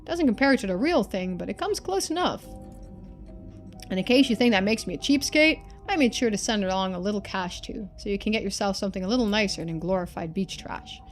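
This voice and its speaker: A woman voices a lonely middle-aged father from a story game, full of love for his daughter. The delivery is folksy and earnest, with soft music underneath. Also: mid-range voice, expressive, fairly quick, lightly acted. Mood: tender.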